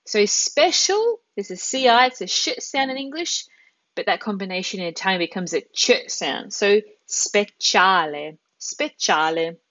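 A woman's voice: mid-range voice, animated, unhurried, fairly bright tone, no echo, lo-fi sound. A woman in her thirties with an Australian accent, teaching a live online language class in an encouraging, chatty way, drawing out words carefully. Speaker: Italian teacher. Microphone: headset.

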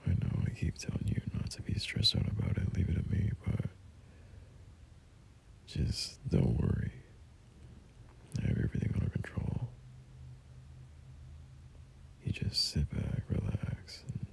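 Very deep whispery boyfriend played by a man. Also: slight background noise, full character voice. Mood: tender, soothing. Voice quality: breathy, whispery, gravelly low murmur. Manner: gentle, hesitant, unhurried reassurance. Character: caring, soothing young boyfriend tending a sick partner. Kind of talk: boyfriend roleplay ASMR, soft half-whispered intimate talk.

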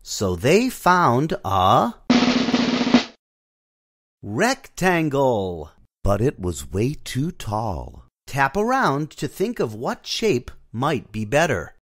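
A man reads a children's picture book aloud for a storybook app, pausing to coax a young listener into finding the shapes. He talks very slowly and lets his voice climb and dip in a wide, playful sing-song. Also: medium pitch, sing-song, a bit bright. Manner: slow, sing-song, coaxing prompts to a child. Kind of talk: children's read-along narration.

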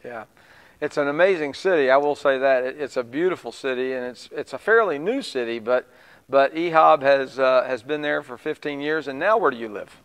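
Host man in his 50s, smooth resonant voice, a bit high, earnest preacherly tone, long reverb in the studio. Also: a bit bright. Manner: earnest, measured, preacherly emphasis. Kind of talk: church TV program hosting. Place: small studio set with plants.

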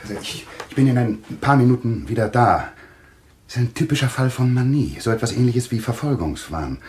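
A man's voice: medium pitch, expressive, a little fast, a little mellow, a little hiss or hum, lightly acted. An actor in a German radio play playing a self-assured male doctor, authoritative, clinical and reassuring. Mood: clinical, confident.

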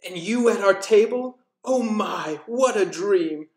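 A man voicing an effusive, excitable storybook alligator with a gushing, exclamatory manner. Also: high-pitched, slow, pitched above the performer's own voice, full character voice. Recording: roomy echo.

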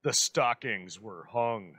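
Bright-voiced man, formal narration. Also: fairly low pitch, slow pace. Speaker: host, introducer.

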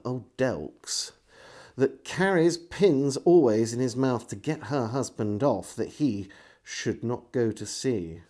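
A middle-aged man reading a historical diary aloud as a storyteller, in a deliberate, theatrical way. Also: mid-range voice, dark tone. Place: indoors, furnished room with bookshelves.

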